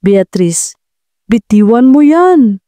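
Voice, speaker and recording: A woman's voice: on the high side, big pitch swings, slow pace, mellow, no echo. A woman voicing a brave, protective young man in a dramatic audio love story. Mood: urgent command. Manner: commanding, urgent.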